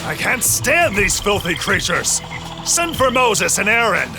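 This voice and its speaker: An actor playing an arrogant, mocking, stubborn Egyptian Pharaoh in a dramatized children's Bible story. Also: male voice, sits high, sing-song, unhurried, gravelly, exaggerated voice. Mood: irritated, haughty. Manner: haughty, scoffing. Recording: loud music behind the voice. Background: music.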